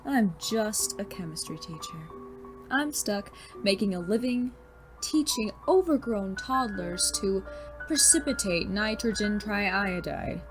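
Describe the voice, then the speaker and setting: A woman's voice: medium pitch, lively intonation, slow pace. A woman playing a smug, bitter male chemistry teacher turned supervillain in a scripted audio drama, with a mocking, condescending, theatrical delivery. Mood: grandiose, fervent. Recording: music behind the voice.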